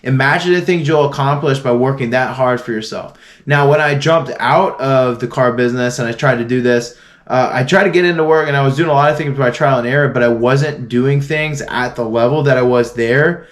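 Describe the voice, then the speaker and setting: A man's voice: medium pitch, fairly quick. A young man from Florida talking earnestly to camera in a casual motivational vlog, with frequent restarts and fillers. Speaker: vlogger.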